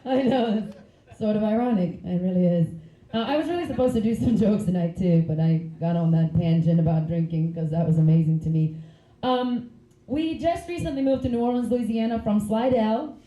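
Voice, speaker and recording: A woman's voice: medium pitch, brisk, roomy sound, dull audio. A woman, doing a rambling, giggly stand-up comedy set on a club stage. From Finland. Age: 30s. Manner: chatty, giggly, rambling asides.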